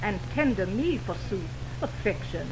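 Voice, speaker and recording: A woman's voice: mid-range voice, lively intonation, a little slow. A woman playing a wounded, indignant young woman in love, in theatrical, declamatory 1920s radio Shakespeare style. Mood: hurt, indignant. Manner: theatrical, declamatory verse delivery. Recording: noisy 1929 radio broadcast on optical film.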